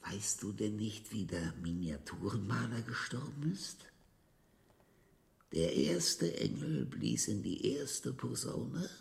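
An ominous old monk of radio drama, proclaiming doom with menace. His voice is very creaky and swings wildly in pitch. He takes his time over each phrase. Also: full character voice. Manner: declamatory, menacing, dropping to whispers. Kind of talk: 1980s German radio drama acting.

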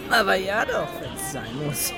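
A man's voice: sits high, slow, heavily acted. An actor playing a witty, wry émigré theatre man in a radio drama, sociable and joking, amid café chatter and music. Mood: amused. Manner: animated, joking. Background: crowd and music.

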